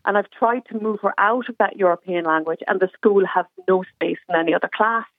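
Mom calling into radio, earnest, lots of ums, tinny dry phone line. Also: female voice, medium pitch, fairly quick, no room sound. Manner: earnest, explanatory, many ums. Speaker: caller, mother. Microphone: telephone.

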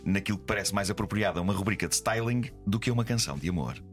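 A man's voice: lowish voice, lively intonation, racing. A man with a European Portuguese accent hosting a radio comedy segment, giving humorous commentary in a mock-serious, wry manner. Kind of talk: humorous semi-scripted commentary over music. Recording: loud music behind the voice. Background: music.

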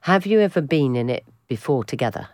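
Lively woman hosting a chat. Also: rumbling low, brisk, dry recording, studio quality. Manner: lively, laughing, teasing. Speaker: podcast host. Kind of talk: radio interview.

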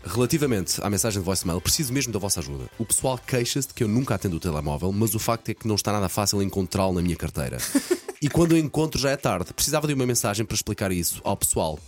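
A man's voice: fairly low pitch, darkish tone. A man with a European Portuguese accent co-hosting breakfast radio with playful, teasing banter. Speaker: morning radio co-host. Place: radio studio. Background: music bed.

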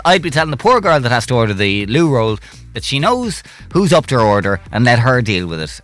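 A man's voice: mid-range voice, fairly bright tone. A man co-hosting a casual morning radio show, teasing his co-host and reading out listener comments.

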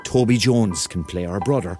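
A man's voice: deep voice, moderate pace, music in the background, lightly acted. An actor playing a hapless, self-mocking thirty-year-old man with a Cork accent, telling his story as a rambling, wry confession in a radio drama. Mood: musing. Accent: Cork Irish.

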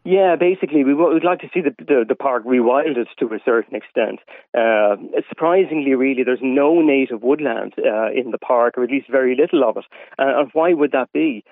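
An Irish man talks in a measured, hesitant way, with many fillers, as a guest on a radio show. His voice comes down a tinny phone line, narrow and lo-fi. The sound is dry, with no room around it. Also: mid-range voice, no echo. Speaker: wildlife campaigner, radio guest.

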